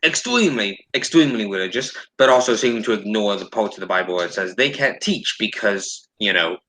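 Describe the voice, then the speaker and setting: A man's voice: lowish voice, expressive, a little fast, bright, forceful tone, low-quality recording. A man hosting a casual commentary chat in a blunt, sardonic, sweary way. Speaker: host.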